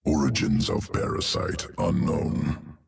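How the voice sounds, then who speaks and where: A man's voice: extremely low pitch, takes it slowly, distant mic, full character voice. An actor playing a cold, clinical male alien creature scientist who speaks in clipped fragments without small words. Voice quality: gravelly, gurgling alien voice.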